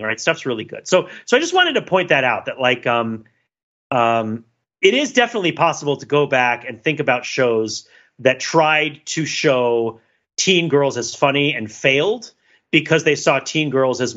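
A man's voice: mid-range voice, animated, moderate pace, fairly bright tone, highs cut off. A man co-hosting a podcast discussion, talking casually with many fillers, restarts and little laughs. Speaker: podcast co-host.

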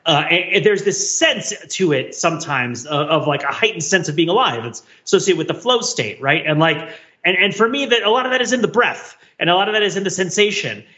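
A man's voice: high-pitched, animated, brisk, bright, forceful tone. A man co-hosting a podcast, talking reflectively in long, winding explanations. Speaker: podcast co-host.

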